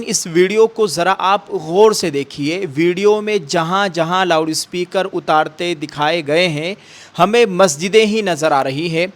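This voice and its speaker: A male news presenter with a slightly high voice delivers scripted commentary straight to viewers. His delivery is formal and emphatic, built around pointed rhetorical questions. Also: a bit bright.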